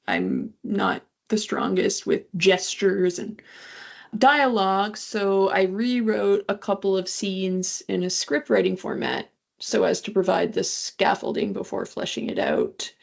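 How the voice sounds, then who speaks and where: Woman, mid-pitched, crackly vocal fry, conversational interviewer, slightly muffled lo-fi recording. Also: very animated, a little slow. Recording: dull lo-fi audio.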